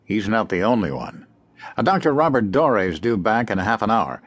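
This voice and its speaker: A cynical district attorney with a low voice that swoops up and down as he makes his points. He delivers his lines with dry, wry humor. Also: very animated, normal speed. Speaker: prosecutor. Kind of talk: 1930s-style radio drama acting.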